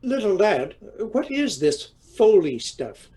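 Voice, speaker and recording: An actor playing a grumbling, teasing older Irish husband in an audio comedy drama, with an Irish accent and a dry manner. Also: male voice, high-pitched, sing-song, takes it slowly, mellow, breathy edge, exaggerated voice. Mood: sceptical, sly.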